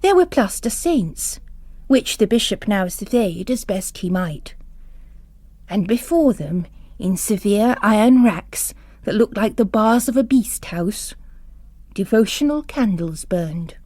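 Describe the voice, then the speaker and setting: A woman narrating literary fiction in a dramatic, expressive, deliberate way. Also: medium pitch, no room sound.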